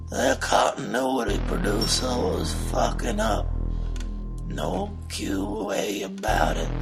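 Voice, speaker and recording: A man playing a bitter, foul-mouthed, jilted post-apocalyptic character who rambles through a slow theatrical monologue in a creaky voice. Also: medium pitch, full character voice.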